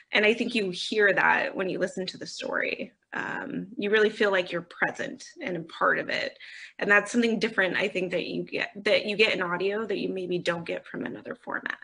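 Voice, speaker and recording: A woman speaks as an executive on a panel, in a conversational style. Her mid-pitched voice is bright and forward, with plenty of vocal fry. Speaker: audio company executive on a panel. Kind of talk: conversational interview answers. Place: remote video call.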